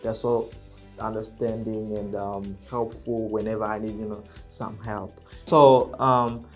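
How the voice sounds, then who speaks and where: A real estate agent vlogging to camera in English, which is not his first language. He is reserved and soft-spoken and speaks haltingly, with many fillers and self-corrections. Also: on the low side, level tone, faint music behind. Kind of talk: casual talking-to-camera vlog.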